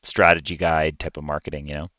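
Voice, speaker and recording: A man's voice: extremely low pitch, little pitch movement, fast talker, pushed and bright, no echo, highs cut off. A man co-hosting a podcast, chatting casually about video games. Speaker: podcast co-host.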